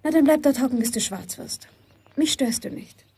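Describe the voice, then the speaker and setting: A woman's voice: high-pitched, moderate pace, warm tone, close to an everyday voice. A woman playing a prickly, scornful young woman in a radio play, curt and sarcastic in naturalistic dialogue.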